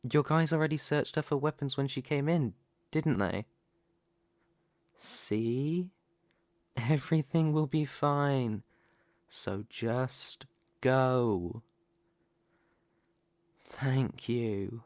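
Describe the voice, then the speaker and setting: A man's voice: mid-range voice, no room sound, studio quality. A man playing a young mafia heir in a scripted audio roleplay, with polite, formal manners over cunning menace. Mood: falsely sheepish. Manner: measured, courteous, formal delivery.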